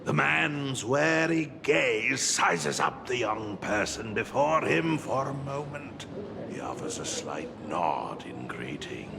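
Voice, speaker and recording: A dignified, wry male storyteller talks slowly in a gravelly voice with theatrical swings of pitch. There is clear noise and ambience around this game character. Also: big pitch swings, in a large hall, heavily acted. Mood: measured, narrating. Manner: measured, theatrical, dry. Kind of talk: video game narration and character acting.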